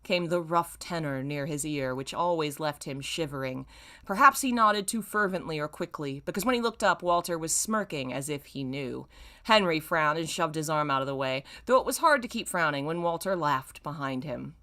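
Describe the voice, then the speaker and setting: A young woman with a crisp, bright voice that sits a little low reads a story aloud. She plays it up theatrically and breaks into casual, mocking commentary in an exasperated, playful way. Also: animated, moderate pace. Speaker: host reading old fan fiction aloud with commentary. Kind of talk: dramatic read-aloud with mocking, casual asides.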